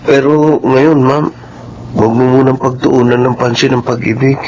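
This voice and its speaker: A careful, soft-mannered male driver in a melodrama, played by an actor. He is deferential and earnest with his employers, in an ordinary male register. The recording is a little muffled, with faint noise. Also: medium pitch, steady tone, normal speed, natural voice. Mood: gentle. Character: loyal, gentle male family driver. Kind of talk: Filipino melodrama dialogue. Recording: dull, noisy recording.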